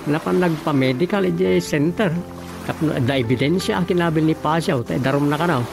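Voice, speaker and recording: A man's voice: fairly high pitch, brisk, vocal fry, over music. A man acting a concerned relative in a radio drama, conversational and explanatory. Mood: concerned, confiding.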